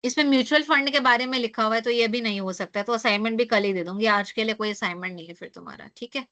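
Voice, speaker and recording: Hoarse woman teaching an online class. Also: fairly high pitch, quick pace, sharp tone. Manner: explains with rhetorical questions, frequent tag "है ना". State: hoarse, losing her voice.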